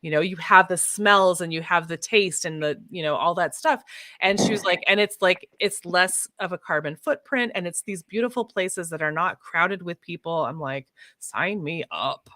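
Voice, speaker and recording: A woman's voice: on the low side, normal speed, bright, forceful tone, no room sound. An American woman chatting casually as a podcast guest, informal and enthusiastic in manner. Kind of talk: casual chat.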